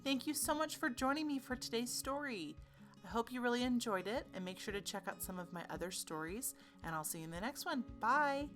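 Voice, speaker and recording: A woman narrating a children's story in an expressive, animated storytelling manner. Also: on the high side, brisk, music in the background.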